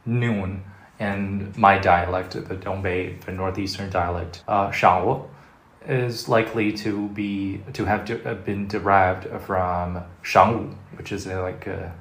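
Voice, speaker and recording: A man's voice: low-pitched, steady tone, measured pace, a bit bright. A man in his thirties whose first language is Mandarin, talking casually to camera about languages at home, thinking aloud with restarts. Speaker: language vlogger. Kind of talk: casual explainer talk to camera. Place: living room at home, indoors. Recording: echoey room.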